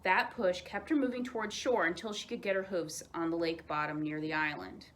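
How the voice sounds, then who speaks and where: A woman narrating a children's story aloud as a storyteller, in an expressive, dramatized way. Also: lowish voice, a little fast, a little hiss or hum.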